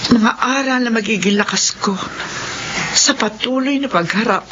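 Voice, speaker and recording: A woman's voice: a little high, normal speed, heavy background noise, natural voice. An actress playing a grateful, emotional mother in a Filipino radio drama, speaking earnestly. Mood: relieved, moved. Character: Feli, grateful, emotional mother of a sick child. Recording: muffled radio drama recording, loud music.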